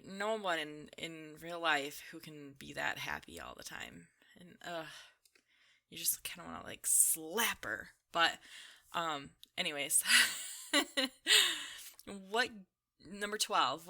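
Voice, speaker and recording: A woman's voice: low-pitched, expressive, takes it slowly, creaky, pushed and bright. A young woman chatting casually in an informal, rambling, slangy way, like commentary over gameplay. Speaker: gaming video creator. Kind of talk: casual chatty commentary while playing a game.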